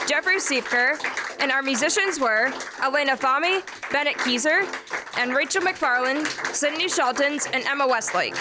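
High-pitched teenage girl announcer over loud music. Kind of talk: scripted radio show announcing. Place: school library room, stage microphone.

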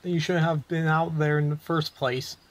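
Hostile townsman played by a man, flat delivery, blunt and threatening, fantasy audio drama. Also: fairly high pitch, moderate pace, close to an everyday voice. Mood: accusing. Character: hostile, unyielding townsman enforcing eviction.

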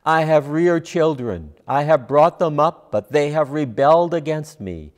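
An older man delivering a measured, scripted sermon as a preacher, deliberate in his phrasing. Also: a little high, lively intonation. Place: large church sanctuary, at a lectern. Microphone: lapel mic. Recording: long church reverb.

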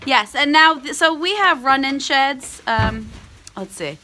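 A woman's voice: high voice, animated, unhurried, sharp tone. A middle-aged woman, an alpaca farmer, chatting and explaining as she films herself on a phone in a cold wooden barn. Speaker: alpaca farmer and vlog host. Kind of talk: casual explanatory vlog. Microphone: phone held at arm's length.

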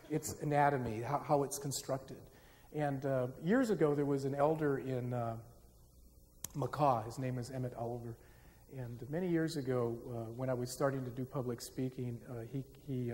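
A middle-aged man gives a public lecture on stage in a large dark auditorium, speaking into a podium microphone in a conversational, self-deprecating way. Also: medium pitch. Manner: conversational, self-deprecating, gets the audience singing. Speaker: forum speaker. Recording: reverberant hall with faint hiss. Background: live audience.

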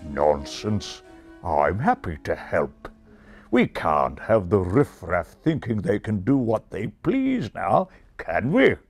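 A man's voice: on the low side, huge pitch swings, slow pace, creaky, husky, faint music behind, full character voice. A man voicing a regal, gracious female eagle in a storybook, speaking in a stately, dignified manner.

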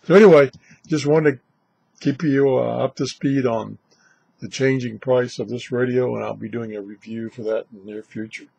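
A man's voice: medium pitch, fairly even intonation, moderate pace, creaky, darkish tone, slightly rough, no echo. An older man hosting a casual, unscripted product vlog, chatting in a rambling way. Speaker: video host reviewing shortwave radios. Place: indoors, small cluttered radio room.

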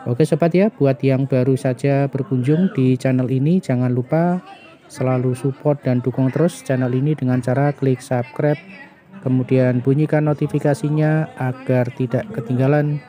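A middle-aged man narrates a walking review as a vlogger, in halting phrases dotted with little tag words. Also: mid-range voice, fairly even intonation, mellow. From Indonesia. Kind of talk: walking vlog narration, food bazaar review. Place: outdoor night food bazaar in a car park.

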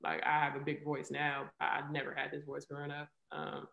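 A woman's voice: low voice, completely flat delivery, a little fast, creaky, fairly bright tone, dull audio. A young woman in her twenties, speaking as an interview guest over a video call from her living room, candid and reflective, with lots of "um" and "right?". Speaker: interview guest, athlete and poet. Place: living room at home, indoors. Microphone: video call webcam. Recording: roomy echo on a video call.

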